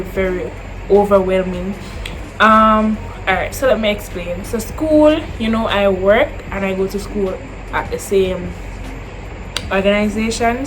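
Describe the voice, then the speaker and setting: A woman's voice: mid-range voice, background noise. Chatty young Jamaican woman vlogging. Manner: chatty, drifts into Jamaican Creole phrasing, repeats herself. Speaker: YouTuber answering viewer questions. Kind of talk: casual Q&A chat to camera.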